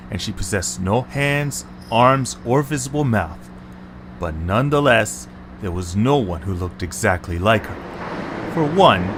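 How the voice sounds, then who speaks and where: A man's voice: medium pitch, fairly bright tone, slight background noise. A man reading scripted narration for a fantasy storybook tale.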